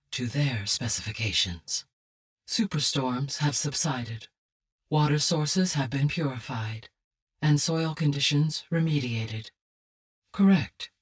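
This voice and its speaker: A woman playing a calm, benevolent artificial intelligence in a video game, speaking in measured, formal, precise phrases. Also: a bass voice, slightly rough, distant mic, exaggerated voice. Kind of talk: scripted game dialogue.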